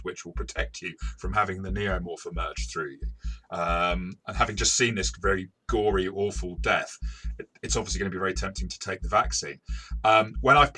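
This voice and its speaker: A man's voice: fairly low pitch, sharp tone, slightly rough. A man talking conversationally as a game master reviewing a game, circling his phrases and repeating words as he goes.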